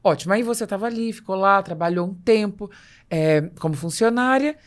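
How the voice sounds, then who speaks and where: A woman's voice: mid-range voice, fairly quick. A Brazilian woman hosting a conversational interview, explaining things assertively and acting out quoted remarks. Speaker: podcast host.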